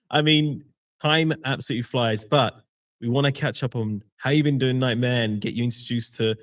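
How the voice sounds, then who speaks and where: A man's voice: mid-range voice, moderate pace, fairly bright tone. A man hosting a live game-company stream as community manager, chatty and welcoming.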